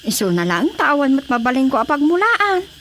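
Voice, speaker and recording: An actress with an Ilocano accent playing an excitable, eager village woman in a radio drama comedy. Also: high voice, a little fast, slight background noise. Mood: eager, playful. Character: excitable, eager village woman Sita, copying her neighbour.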